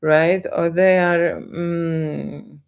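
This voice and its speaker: A woman with a Lithuanian accent chats unscripted on a live stream, haltingly, repeating phrases and often checking in with short tag questions. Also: lowish voice, fairly even intonation, vocal fry, clean tone. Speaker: live stream host, photographer. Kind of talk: unscripted live stream chat, answering viewers.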